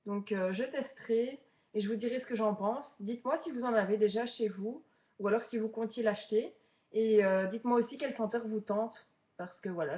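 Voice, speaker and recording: A woman's voice: mid-range voice, lo-fi sound. A woman of twenty-seven from Belgium, chatting casually to viewers as a beginner vlogger during an unboxing. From Belgium, Charleroi region.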